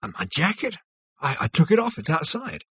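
A man's voice: on the high side, wildly swooping pitch, normal speed, husky, no room sound, pitched above the performer's own voice, heavily acted. A man voicing a hotheaded, sullen male space rogue, slangy and indignant, in a science fiction storybook.

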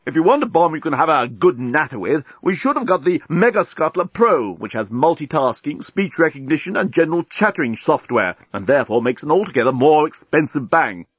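A man voicing a chatty, indignant talking bomb in a comic science-fiction audiobook, huffy and deliberate. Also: on the high side, sing-song, breathy edge, dry recording, dull audio, voice pushed up. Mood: huffy, flustered. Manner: deliberate countdown, huffy complaining.

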